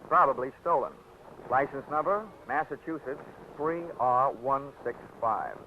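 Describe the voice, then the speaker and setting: A man's voice: mid-range voice, expressive, a little slow, a little hiss or hum. An actor playing a dry, wisecracking insurance investigator who narrates his own case in a 1940s radio drama. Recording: dull old radio broadcast with music.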